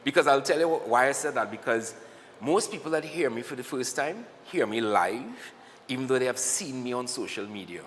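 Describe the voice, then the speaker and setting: A man with a Trinidadian accent, a guest on a morning TV show, telling animated stories and acting out what people said to him. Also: medium pitch, fairly quick, in a large hall, slight background noise. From Trinidad and Tobago. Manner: animated storyteller, acts out quotes. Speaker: guest, cyclist and singer. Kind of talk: casual interview chat.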